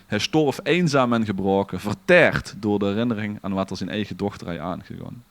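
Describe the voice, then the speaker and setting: A man reading a legend aloud in a relaxed, theatrical storytelling manner. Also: lowish voice, fairly quick, no echo. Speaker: podcast host. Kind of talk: dramatic read-aloud storytelling.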